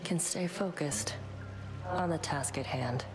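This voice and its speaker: Low-voiced, blunt rival fighter. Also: female voice, slow. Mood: guarded. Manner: clipped, defiant. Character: tough, blunt, stubborn young rival fighter. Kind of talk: game character acting. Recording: music behind.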